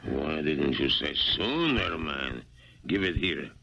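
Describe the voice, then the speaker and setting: An actor playing a gruff, blunt, grasping Russian tailor with a gravelly voice in a radio drama. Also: male voice, a bass voice, sing-song, slow pace, bright, forceful tone, slight background noise, exaggerated voice. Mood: demanding. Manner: blunt, haggling, scornful. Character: gruff, grasping one-eyed Russian tailor, fond of brandy. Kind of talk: 1970s radio drama acting. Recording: dull, lo-fi 1970s radio recording.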